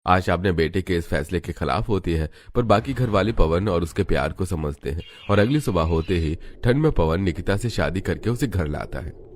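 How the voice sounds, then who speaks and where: A man's voice: low voice, animated, moderate pace, slight background noise. A man reading scripted narration for a family story.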